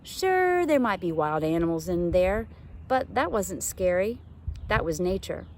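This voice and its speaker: A woman's voice: lowish voice, big pitch swings, unhurried, a little mellow, a little hiss or hum. A woman reading a children's novel aloud like a teacher, with dramatic, suspenseful expression. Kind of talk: expressive storybook read-aloud.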